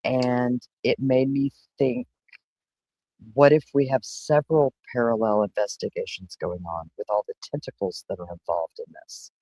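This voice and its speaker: A man co-hosting a casual discussion lays out his thinking in a measured, methodical way. His voice sits at an ordinary middle pitch. The recording is dry and close. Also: fairly even intonation, warm tone, dry recording, low-quality recording.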